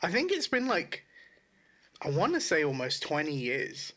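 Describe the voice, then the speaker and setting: British guy on a gaming podcast, bright voice, really animated, slangy and sweary. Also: a little high, normal speed. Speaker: podcast co-host. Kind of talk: casual gaming podcast chat.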